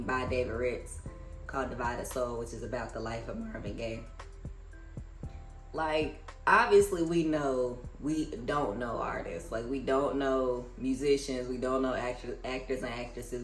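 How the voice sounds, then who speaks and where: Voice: mid-range voice, reverberant, poor audio. An American reader with an informal, conversational manner, reading books aloud and adding casual commentary. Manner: informal, conversational asides between read passages. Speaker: book reader and reviewer hosting a read-aloud.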